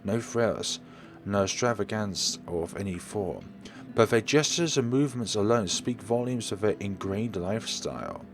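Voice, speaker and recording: A man reading a horror story game aloud as a let's play host, in an expressive, theatrical storytelling manner. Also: on the low side, normal speed, music in the background. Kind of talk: dramatic scripted narration with asides.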